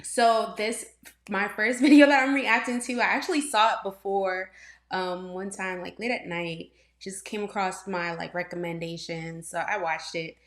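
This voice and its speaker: A woman's voice: mid-range voice, fairly even intonation, moderate pace. A young woman from Trinidad and Tobago chats her way through a reaction video, circling back with fillers and restarts. Her voice sits mid-range for a woman. The room around her adds a noticeable echo. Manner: chatty, rambling, many fillers and restarts, frequent laughs. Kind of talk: casual reaction commentary. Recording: roomy echo.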